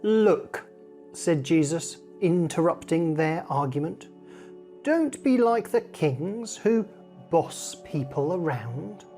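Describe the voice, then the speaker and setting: A woman reading a story aloud to children in an expressive storyteller's way, acting out the dialogue. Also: fairly low pitch, slow, faint music behind.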